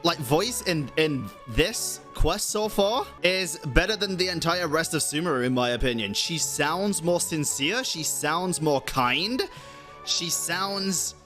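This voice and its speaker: A woman's voice: low voice, animated, slow, a bit bright. A woman streaming a game, giving chatty, informal, reactive commentary. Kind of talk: casual live reaction commentary. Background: game music.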